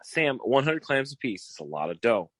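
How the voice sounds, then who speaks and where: An actor playing a cocky, boastful, theatrical private detective in a 1940s style radio comedy, pitching himself in a showy, wheedling way. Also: male voice, very animated, moderate pace, fairly bright tone, no echo. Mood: breezy, scheming. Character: cocky, theatrical fast-talking male private detective eager for partnership.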